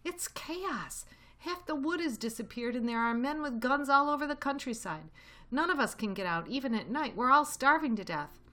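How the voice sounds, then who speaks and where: A woman voicing an excitable, anxious male badger in a children's storybook, exclaiming in agitation. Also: medium pitch, moderate pace, pitched above the performer's own voice, lightly acted. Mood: alarmed.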